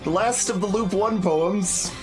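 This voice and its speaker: Male game streamer, lighter higher voice, hesitant analytical commentary over music. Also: measured pace, low-quality recording. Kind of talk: casual playthrough commentary, thinking aloud. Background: background game music.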